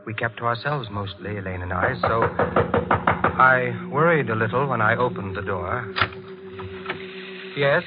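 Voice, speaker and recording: An actor playing a nervous, brooding, secretive husband in 1940s radio style, speaking in a hesitant, measured, confessional way. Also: male voice, mid-range voice, lightly acted. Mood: uneasy. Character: nervous, brooding older husband, secretive bird keeper with murderous past. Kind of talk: 1940s radio acting, first-person narration. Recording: muffled old radio, loud music behind.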